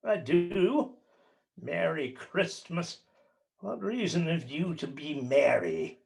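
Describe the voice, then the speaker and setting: A man plays a stingy old miser with a grating, scornful voice that swoops high and low. He speaks slowly, spitting out each word with contempt in this radio drama. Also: sing-song, gravelly, full character voice. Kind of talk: radio play acting.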